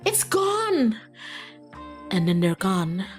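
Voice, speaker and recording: Casting: female game streamer, expressive and playful reader-reactor, medium pitch, music in the background. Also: very slow. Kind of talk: visual novel playthrough, reading aloud and reacting.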